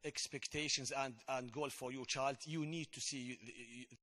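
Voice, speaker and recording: A man's voice: mid-range voice, steady tone, unhurried, bright, forceful tone. A man presenting school language results to parents, hesitant and often repeating his words. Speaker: Arabic department presenter.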